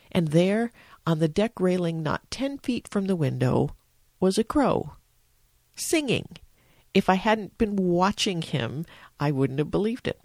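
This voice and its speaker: A middle-aged American woman hosting a spoken devotional, telling it in a measured, reflective way. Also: low voice, darkish tone, no room sound. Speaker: devotional podcast host, church organization director.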